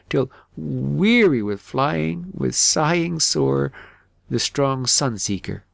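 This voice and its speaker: A man narrating a fairytale audiobook in an expressive, measured storybook manner. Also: medium pitch, creaky, no echo, studio quality.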